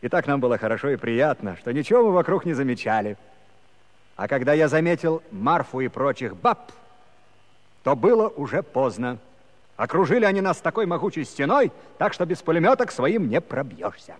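A man's voice: on the high side, big pitch swings, a little fast. An actor playing a talkative married Cossack villager, telling his story in folksy rustic dialect for a musical comedy. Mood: wry storytelling. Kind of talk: theatrical narration in a musical comedy. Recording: long hall reverb.

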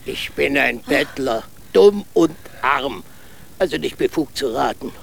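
An actor playing a poor, humble old beggar in a radio play, gravelly and resigned. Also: male voice, medium pitch, animated, a bit bright, slight background noise, full character voice. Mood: weary, humble. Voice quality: gravelly, creaky. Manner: slow, resigned, plain-spoken.

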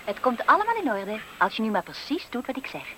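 Bright, bossy, scheming young maid. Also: female voice, fairly high pitch, very animated. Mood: urgent, bossy. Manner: brisk, commanding, theatrical. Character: bossy, scheming young maidservant from a fairy tale. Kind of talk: 1970s Dutch radio drama acting. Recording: vintage radio recording with background noise.

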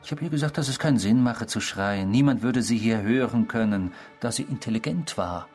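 An actor playing a smug, remorseless criminal confessing to a stranger in a German radio drama, speaking in a measured, provocative, self-satisfied way. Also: male voice, mid-range voice, a little mellow, faint music behind, close to an everyday voice. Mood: calm, unrepentant.

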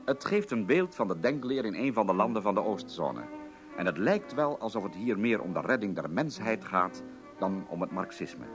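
Music plays clearly behind a man with a fairly low voice. He reads a formal scripted introduction as a radio narrator, solemn and measured.

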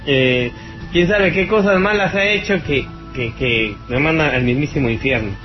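A man's voice: on the high side, moderate pace. A man with a Mexican accent hosting a radio show, giving an informal tech-advice monologue in a colloquial, mocking, teasing manner. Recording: dull lo-fi radio stream over loud music. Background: music.

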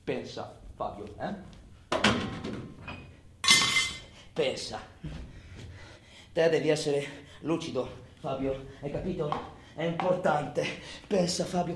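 A tormented, menacing man in a theatrical monologue, his voice a little high. He mutters and curses, swinging abruptly toward outbursts. The space is roomy and echoing, with faint noise behind him. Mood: agitated. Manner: foul-mouthed, muttering, abrupt swings between murmur and outburst. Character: tormented, volatile criminal man, taunting and cursing himself. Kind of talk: theatrical monologue, radio stage drama.

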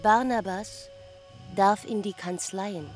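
An actress playing a hopeful, devoted village woman in a literary radio drama, speaking in halting, deliberate broken phrases. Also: medium pitch, darkish tone, close to an everyday voice. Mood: hesitant hope. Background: music.

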